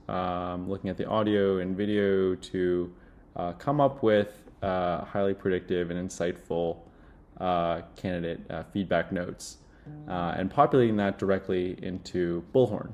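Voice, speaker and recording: A young man speaking casually and haltingly with many filled pauses, as in a product demo. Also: deep voice, fairly even intonation. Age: young adult. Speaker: software demo presenter playing a job candidate.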